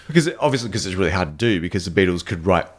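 A man's voice: low-pitched, animated, very fast. A man co-hosting a podcast in casual chat, talking with enthusiasm and swearing freely. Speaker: podcast co-host. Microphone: podcast microphone on a stand.

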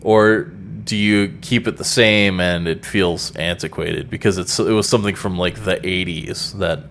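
A man's voice: low-pitched, fairly even intonation, a little slow, a bit bright, slight background noise. A man co-hosting a podcast in casual, rambling chat, full of fillers and casual swearing. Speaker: podcast co-host.

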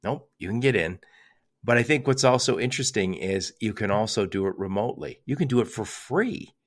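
Low-voiced older American man hosting. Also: lively intonation, brisk. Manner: chatty, digressive. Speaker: podcast host. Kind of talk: casual conversational interview.

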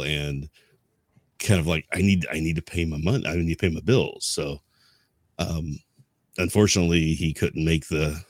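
A man speaking as a podcast co-host in a casual history discussion, explaining things in a halting, rambling way. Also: a bass voice, no echo, studio quality.

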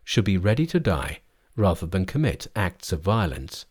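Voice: sits low, sing-song, moderate pace, a little mellow, breathy edge, exaggerated voice. A storyteller voicing a pious male priest, grave and moralizing, in a storybook tale. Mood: reproachful. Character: solemn, reproachful pious priest, Monkey's master. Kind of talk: storybook character voice.

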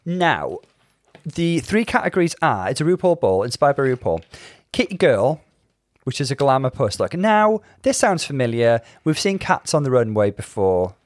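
A British man with a medium-pitched voice speaks as a podcast co-host. His commentary is casual yet articulate and measured. The sound is dry and close, with no echo. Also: sing-song. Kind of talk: casual TV show recap commentary.

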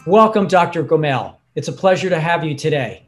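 A man hosting an interview, speaking in a formal, measured way as if reading a prepared introduction. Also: on the high side, animated, soft background music. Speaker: interviewer and host.

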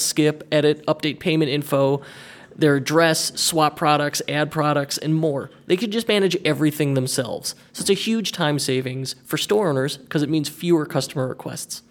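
A man who hosts a podcast, reading ad spots and chatting with a guest in an upbeat, energetic way. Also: fairly high pitch. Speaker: podcast host. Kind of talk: read ad spots and casual interview.

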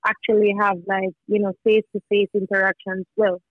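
A woman's voice: mid-range voice, little pitch movement, a little fast, dry recording, phone-quality sound. A young woman musician talking casually as a podcast guest, full of fillers and restarts. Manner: rambling, filler-heavy, many restarts.

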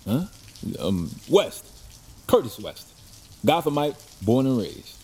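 A man playing a frightened, flustered and foul-mouthed city man in an audio drama, nervous and excitable. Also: mid-range voice, slow pace, a little hiss or hum. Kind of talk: fan audio drama acting.

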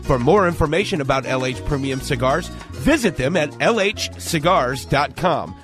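A man's voice: a little high, expressive, normal speed, fairly bright tone. A man reading a scripted sponsor announcement. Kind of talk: scripted advertisement read. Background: music.

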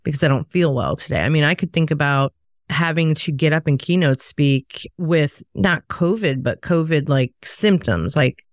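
A woman's voice: low voice, moderate pace, no echo, clean studio sound. A middle-aged woman co-hosting a podcast, talking candidly in a casual chat and telling stories from her own work. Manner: candid, storytelling. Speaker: podcast co-host.

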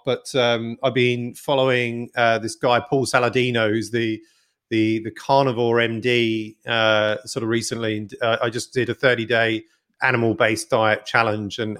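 A man's voice: medium pitch, fairly bright tone. A man hosting a business podcast, asking questions hesitantly with many fillers and repeated words. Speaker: podcast host. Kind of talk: casual interview questions.

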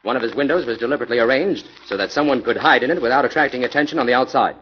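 A muffled, noisy 1940s radio recording carries a man acting a confident male sleuth. His pitch sits in a middle range, and he states things briskly and decisively, as one used to taking charge. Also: natural voice. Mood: assured, firm. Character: decisive, self-assured male crime-fighting sleuth.

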